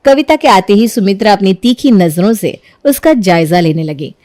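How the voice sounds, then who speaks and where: Woman reading a scripted story as narrator, normal pitch, no echo at all. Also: lively intonation, moderate pace, a little mellow, studio quality.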